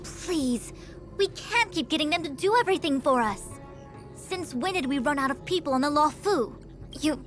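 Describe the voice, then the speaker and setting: A proud and impatient lady official with a high voice, over background music. Her delivery is haughty, formal and reproachful, in the manner of a video game drama. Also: animated, moderate pace, a bit bright. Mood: indignant, reproachful. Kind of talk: scripted video game dialogue.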